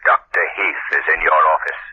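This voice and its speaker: An actor playing a mysterious unseen male vigilante in a 1930s radio drama, speaking low and slow in a husky, menacing voice. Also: steady tone, pushed and bright, full character voice. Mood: sinister. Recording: tinny old radio broadcast with hiss.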